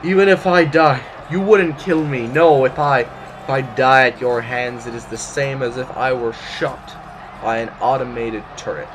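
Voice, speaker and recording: A man's voice: mid-range voice, fairly even intonation, unhurried, a little hiss or hum, close to an everyday voice. A man playing a haughty, paranoid revolutionary politician in a sci-fi audio drama, speaking in formal, pompous oratory. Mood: ranting, unhinged. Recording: roomy, echoing sound.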